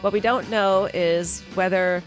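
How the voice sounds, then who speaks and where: A woman hosting morning radio, telling offbeat news stories in a brisk, amused way. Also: mid-range voice, steady tone, faint music behind.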